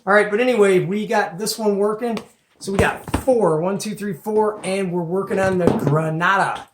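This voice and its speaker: Medium-pitched voice, enthusiastic host, casual show and tell, colloquial, folksy exclamations, echoey room, faint background noise. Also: normal speed.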